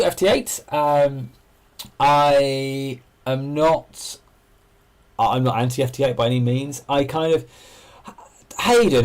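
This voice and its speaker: A man's voice: medium pitch, darkish tone. Man chatting on a casual livestream. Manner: discursive, thinking aloud. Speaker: amateur radio livestream host. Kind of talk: casual livestream chat, explaining and answering viewers.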